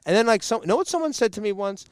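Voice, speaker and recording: Podcast co-host, male, Jersey City accent, fired up and indignant, slightly raised pitch, dry close sound. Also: lively intonation, rapid-fire, clear, resonant tone, dry recording. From New Jersey. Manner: heated, indignant, stammering restarts. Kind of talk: casual discussion.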